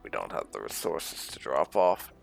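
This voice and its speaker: A man's voice: rumbling low, big pitch swings, moderate pace, gravelly, faint music behind. A man hosting a video game playthrough with casual commentary, musing to himself as he goes.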